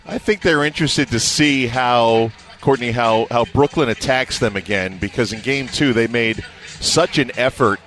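A man's voice: mid-range voice, normal speed, fairly bright tone, soft background music. A man working as a play-by-play announcer, giving conversational analysis in a sports radio interview.

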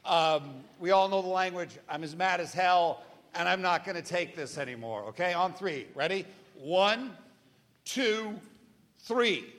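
Loud-voiced man in a reverberant hall. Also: high voice, measured pace, background noise. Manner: loud, carrying voice. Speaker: radio show guest and political commentator. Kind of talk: conversational interview before a live audience. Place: large room with a live audience. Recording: reverberant live stage hall.

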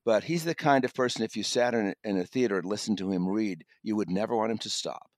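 Male reviewer in conversation, lowish pitch, very dry sound. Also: fast, no room sound. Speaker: audiobook reviewer and podcast guest.

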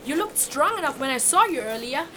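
A woman's voice: high-pitched, very animated, fairly quick, sharp tone, full character voice. A woman playing a sarcastic, grumbling human in a fantasy audio drama, with flippant and casual modern speech. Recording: clear background noise.

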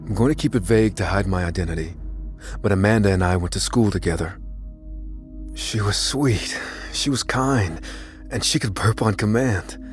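An actor playing an unassuming, secretive young computer whiz, giving a guarded, deliberate confession in an audio drama. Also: male voice, fairly low pitch, close to an everyday voice. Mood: guarded, reflective. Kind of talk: dramatic first-person audio fiction narration.

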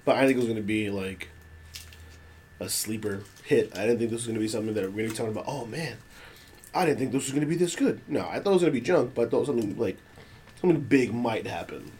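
A man co-hosting a podcast, his voice a little hoarse from being under the weather. His tone is mellow and dark as he gives animated, opinionated takes. Faint noise sits in the background. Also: mid-range voice, fast. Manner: animated, opinionated wrestling talk. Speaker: podcast co-host. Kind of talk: casual podcast banter.

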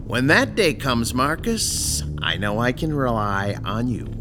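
An actor playing a calm, controlling male crime boss in an audio horror drama, polite and precise with quiet menace. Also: mid-range voice, over music. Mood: cool, commanding. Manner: polite, precise, coolly deliberate.

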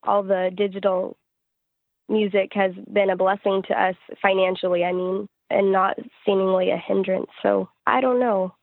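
A woman's voice: mid-range voice, little pitch movement, normal speed, creaky, no echo. A woman chatting casually as an interview guest, speaking over a telephone call. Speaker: singer-songwriter being interviewed. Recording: narrow tinny phone line.

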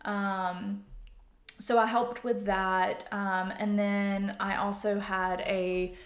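Woman in her 30s, interview guest, informal and thoughtful, mid pitch, roomy echoey sound. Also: flat delivery, takes it slowly. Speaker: guest, photographer. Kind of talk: casual interview conversation.

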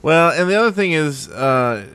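A man's voice: a little high, lively intonation, moderate pace, a bit bright, clear, resonant tone, no echo. A man hosting a gaming podcast in casual, wry, rambling banter. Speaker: podcast host.

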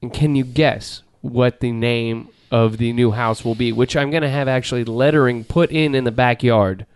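A middle-aged man hosting a podcast in a home garage, joking in a deadpan, rambling way. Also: medium pitch, normal speed, clean tone, no echo. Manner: rambling, deadpan, trails off and restarts. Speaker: podcast host. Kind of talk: casual joking banter.

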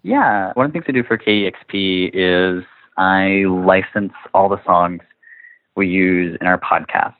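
Really low voiced guy chatting as interview guest, tinny phone sound, no echo. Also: unhurried. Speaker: radio station music licenser, guest. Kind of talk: conversational interview. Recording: thin phone-like line.

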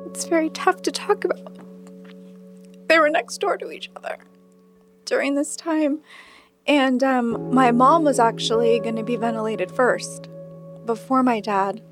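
A woman's voice: sits high, measured pace, pauses often, over music. A woman giving a personal, spoken reminiscence as an interviewee. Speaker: interviewee remembering her late father.

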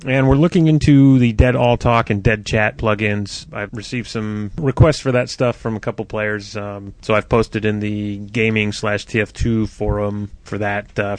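A man's voice: on the low side, dry recording. A man presenting a podcast segment, reporting updates on a game server like an announcement.

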